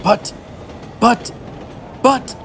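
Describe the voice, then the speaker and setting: A storybook reader voicing an excitable, panicky old grandfather who exclaims and shouts. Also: extremely slow, slight background noise. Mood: alarmed.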